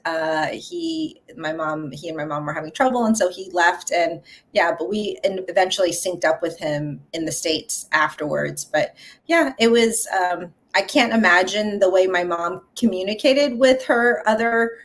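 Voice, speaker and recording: Soft-spoken woman, halting and thoughtful. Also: on the low side, animated. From Vietnam. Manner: halting, many fillers and restarts. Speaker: guest, coffee company founder. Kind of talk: casual interview conversation.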